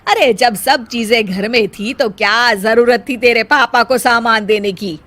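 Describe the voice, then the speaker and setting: A woman's voice: on the high side, measured pace, fairly bright tone. A woman voicing a proud, stubborn middle-aged Indian mother-in-law in a moral story, with a taunting, emphatic way of talking. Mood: smug, taunting. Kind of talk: acted dialogue in a Hindi moral story.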